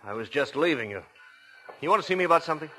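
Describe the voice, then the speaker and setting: An actor playing a firm, middle-aged American small-business boss, frank and clipped, easily exasperated, in 1940s radio suspense style. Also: male voice, fairly high pitch, expressive, rapid-fire, bright, forceful tone, soft background music. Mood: polite, cordial.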